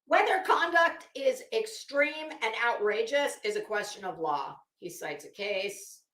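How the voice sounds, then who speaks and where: A woman's voice: on the high side, lively intonation, measured pace, pushed and bright. A middle-aged woman giving casual livestream commentary in a brash, opinionated, sweary way, with dramatic emphasis. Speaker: livestream host. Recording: echoey room.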